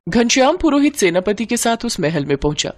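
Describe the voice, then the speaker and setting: A woman narrating a folk tale in a dramatic, expressive storytelling style. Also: a little high, a little fast.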